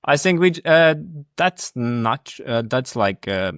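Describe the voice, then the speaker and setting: A man's voice: mid-range voice, lively intonation, slow pace, fairly bright tone, smooth, no echo, clean studio sound. A man hosting a casual interview, speaking informally and searching for his phrasing as he goes. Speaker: podcast host, interviewer.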